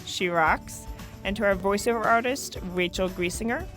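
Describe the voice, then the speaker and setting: A woman's voice: medium pitch, normal speed, a bit bright, soft background music, rough recording. A woman voiceover artist reading a scripted podcast outro in a friendly, polished way. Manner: friendly, polished promo read. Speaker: voiceover announcer.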